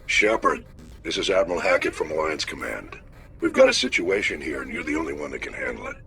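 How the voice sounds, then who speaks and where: An actor playing a gruff, commanding older admiral in a video game, giving a formal, direct mission briefing. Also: male voice, a bass voice, huge pitch swings, fast, pushed and bright, exaggerated voice. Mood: urgent, firm. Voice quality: gravelly.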